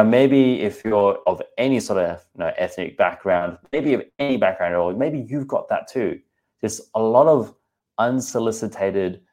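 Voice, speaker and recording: A man in his thirties with an Australian accent and a low-pitched voice. He hosts a podcast in a casual, candid way and speaks directly to his listeners. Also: a little mellow. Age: 30s. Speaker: podcast host and personal trainer.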